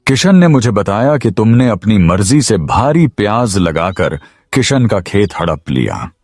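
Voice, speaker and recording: A man's voice: on the low side, very animated, a little slow, darkish tone, no echo, clean studio sound, full character voice. A man voicing a stern, self-important king in a cartoon story, speaking formally and with authority. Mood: grave, questioning. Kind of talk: Hindi cartoon moral-story voice acting.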